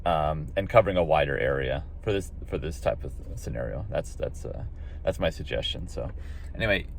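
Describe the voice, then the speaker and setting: An American man giving a casual explainer as a radio hobby vlogger, thinking aloud in a halting way and repeating phrases. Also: a bass voice, expressive, vocal fry, a little hiss or hum.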